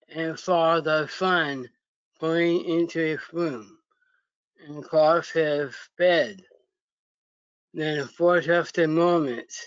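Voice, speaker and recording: A man reading a children's story aloud haltingly, in short phrases with pauses and stumbles, over a video call. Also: on the high side, a bit bright, smooth, dry recording, muffled recording. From United States. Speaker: story reader.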